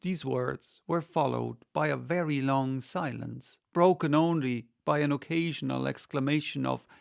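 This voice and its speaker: A man's voice: mid-range voice, measured pace, gravelly. A man reading a classic children's story aloud as scripted audiobook narration. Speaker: audiobook reader.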